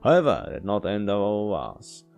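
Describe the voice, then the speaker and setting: Male let's player, lower register, stumbling casual read-aloud over light plucked guitar music. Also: big pitch swings, creaky, darkish tone, dull audio. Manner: halting delivery, stumbles and repeats words while reading. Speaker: video game let's player. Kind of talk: reading a visual novel aloud with casual commentary. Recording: music behind the voice.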